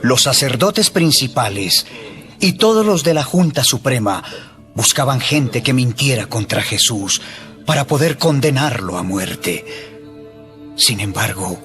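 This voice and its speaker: A male narrator reads a scripted Bible drama with a storyteller's delivery. His medium-pitched voice moves with lively inflection over prominent background music.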